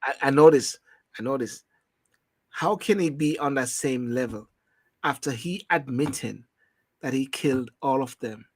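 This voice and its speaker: A man's voice: mid-range voice, a little slow, pauses often, breathy edge, no room sound. A man hosting a casual rum-bar style talk show, rambling and repeating himself as he loses his thread.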